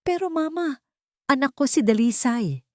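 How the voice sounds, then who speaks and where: A woman's voice: sits high, expressive, unhurried, a little mellow, no echo, voice pushed up. A meek, cowardly grown son and young father voiced by a woman narrator in a dramatic audio story. He sounds pleading and hesitant, recorded dry and close. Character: timid, cowardly adult son and guilt-ridden young father.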